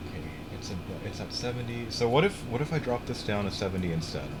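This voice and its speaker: A man's voice: mid-range voice, big pitch swings, quick pace. Young guy on a game stream, rambles and cusses a lot, hissy noisy mic. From United States. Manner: rambling, swears casually, restarts often. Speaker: streamer playing a building game. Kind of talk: casual gaming stream chat.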